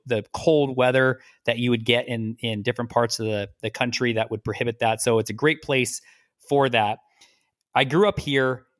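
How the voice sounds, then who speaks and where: Middle-aged man from the US, mid pitch, rambling guest storyteller, dry close sound. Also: no room sound. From United States. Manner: relaxed, rambling, repeats words. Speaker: guest, lawyer. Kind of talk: casual interview storytelling.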